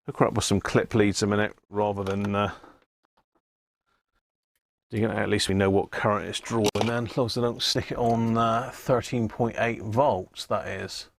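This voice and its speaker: A man's voice: fairly low pitch, steady tone, normal speed, creaky. A British man chatting casually and rambling on a hobby livestream, often muttering to himself as he tinkers. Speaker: livestream host and radio hobbyist.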